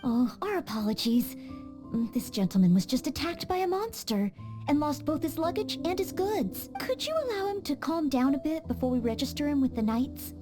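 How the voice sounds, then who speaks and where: A polite, high-voiced young maid. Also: female voice, very animated, moderate pace. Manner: polite, formal and eager to help. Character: earnest, dutiful young female maid knight. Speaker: maid and knight-in-training. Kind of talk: video game voice acting. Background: soft game music.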